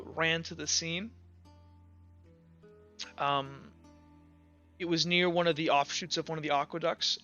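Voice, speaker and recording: Guard commander in a fantasy game, played by a man: shaken, reluctant witness, slow and stammering, bright clear tone. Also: on the high side, lo-fi sound. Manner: halting, hesitant, stammering.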